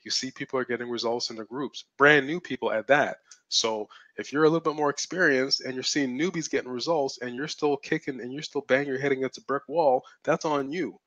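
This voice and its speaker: A man's voice: mid-range voice, fairly even intonation, a little fast, fairly bright tone, no echo. A blunt, assertive man mentors viewers through a live webinar, hammering points home by repeating them. His voice is strained and cracks often. The stream sound is dull and lo-fi, with a dry, close feel. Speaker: webinar host and business mentor.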